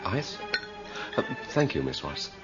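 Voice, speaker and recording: Low-voiced, uneasy young man. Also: sing-song, slow pace, over music, full character voice. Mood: polite, awkward. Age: young adult. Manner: hesitant, apologetic, inquisitive. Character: brash broke young man, polite but uneasy. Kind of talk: radio drama acting. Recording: dull lo-fi radio recording.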